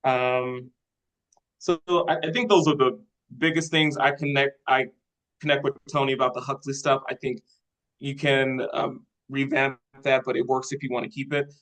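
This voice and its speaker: A man giving spoken critique in a measured, constructive way, organized point by point, as a participant in a script workshop. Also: mid-range voice, level tone, a bit bright, low-quality recording. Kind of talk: spoken critique at a table read.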